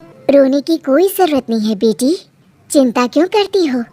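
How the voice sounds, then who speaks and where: A woman's voice: high voice, expressive, normal speed. A woman voicing a kindly magical tree fairy, motherly and reassuring, in a cartoon story. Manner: gentle, reassuring, benevolent. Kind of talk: cartoon voice acting.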